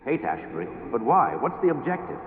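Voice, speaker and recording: A man's voice: medium pitch, big pitch swings, brisk, close to an everyday voice. A man playing a cold, threatening government commandant villain in a radio drama, tinny and noisy. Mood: reporting. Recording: tinny lo-fi with noise.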